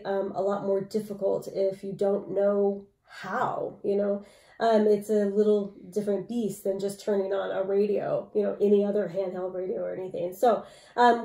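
A woman's voice: medium pitch, dark tone. A woman in her thirties giving advice as a co-host in casual explainer style, recorded in a room at home. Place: small room at home, indoors. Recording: echoey room, distant from mic.

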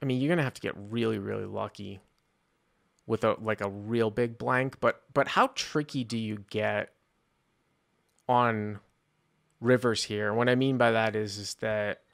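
A man in his thirties hosting a poker call-in show, talking analytically and informally into a close studio mic, thinking out loud. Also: fairly low pitch, fairly even intonation, a little slow, vocal fry, long pauses. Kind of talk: casual analytical poker discussion. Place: small indoor studio. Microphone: close desktop studio microphone, headset on.

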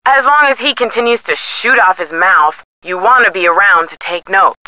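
A woman's voice: mid-range voice, normal speed, bright, forceful tone, dry recording, natural voice, rough recording. An actress playing a detective's chatty, witty young female friend in a video game, with a playful, teasing manner. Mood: animated. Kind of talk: scripted video game dialogue.

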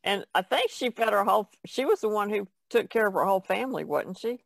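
A woman chats casually as a podcast co-host, her voice full of creak. She sounds tentative, and the recording is very dry. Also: medium pitch, animated, fast talker, dry recording. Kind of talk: casual conversation.

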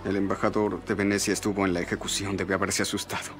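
A gossipy bazaar merchant. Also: male voice, low voice, flat delivery, quick pace, fairly bright tone, soft background music. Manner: gossipy, boastful. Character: chatty male bazaar merchant, gossipy and boastful. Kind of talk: Spanish dub of a historical series.

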